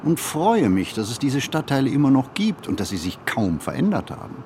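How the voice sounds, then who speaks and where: A man giving an expressive, deliberate literary reading, with emphatic phrasing and dramatic pauses. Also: on the low side, slight background noise. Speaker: reader of a literary text.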